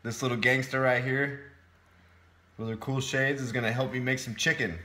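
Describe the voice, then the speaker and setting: A man's voice: medium pitch, little pitch movement, moderate pace, pushed and bright. A man in his thirties giving a casual, informal how-to talk to a phone in a home kitchen, plain-spoken like a fitness vlogger. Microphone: phone at arm's length.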